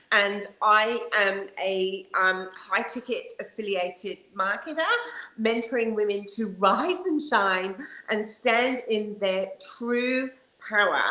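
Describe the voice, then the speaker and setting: A woman's voice: mid-range voice, pushed and bright. Bubbly woman in an echoey room. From Western Australia. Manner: bubbly, laughing, passionate. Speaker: guest, marketer and mentor. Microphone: video call.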